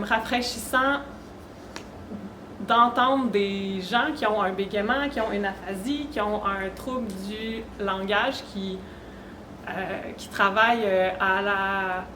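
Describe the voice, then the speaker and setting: A woman's voice: medium pitch, slow, pushed and bright. A woman with a Québécois French accent giving an informal, conversational awareness talk to an audience. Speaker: panelist, speaker. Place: echoey room at a university event. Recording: roomy echo, faint background noise.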